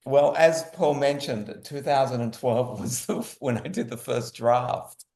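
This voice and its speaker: A man from Australia, an interview guest explaining things casually and emphatically, restating himself to be clear. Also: mid-range voice, measured pace, a little mellow. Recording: roomy echo on the voice.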